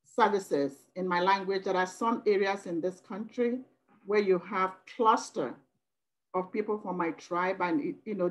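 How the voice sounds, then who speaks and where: A woman's voice: lowish voice, rough recording. Nigerian-born woman on a video call. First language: Igbo. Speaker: working group member. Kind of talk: video call meeting comment. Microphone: laptop video call.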